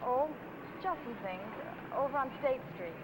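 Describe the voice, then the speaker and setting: A woman's voice: high-pitched, expressive, moderate pace. An American actress playing a dreamy, lovesick, evasive young woman with a hesitant manner in a 1950 noir film. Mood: evasive, wistful. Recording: noisy vintage film soundtrack, street sounds.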